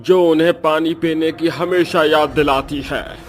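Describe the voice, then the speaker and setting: A man's voice: a little high, fairly even intonation, unhurried, soft background music, close to an everyday voice. A man voicing a chatty, complaining ghost underling in a cartoon horror story. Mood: grumbling.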